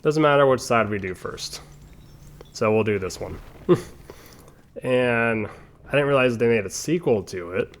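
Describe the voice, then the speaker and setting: A man's voice: medium pitch, animated, a little slow, long pauses. A man giving casual, rambling commentary over a video game playthrough, chatting with playful asides. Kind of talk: casual gameplay commentary with tangents.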